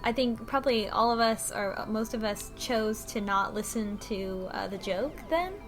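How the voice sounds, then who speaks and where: A woman chatting casually on a panel, expressive and given to mock drama. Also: fairly high pitch, normal speed, soft background music. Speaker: podcast panelist. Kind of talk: casual group chat.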